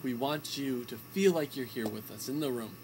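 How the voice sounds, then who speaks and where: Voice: mid-range voice, moderate pace, darkish tone, slight background noise, poor audio. A young adult co-hosting an amateur comedy radio show, bantering like a mock-professional showman.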